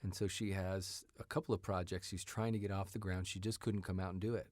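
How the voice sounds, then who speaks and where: Guy chatting in an interview, lowish voice, informal, dry studio sound. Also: level tone, fairly quick, darkish tone, no echo. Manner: informal, often checks with "you know". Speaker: writer and actor, interview guest. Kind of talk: casual conversational interview.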